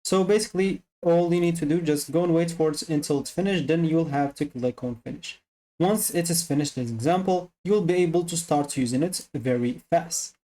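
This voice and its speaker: Mellow-voiced software tutorial presenter. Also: medium pitch, normal speed. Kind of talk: casual step-by-step tutorial narration.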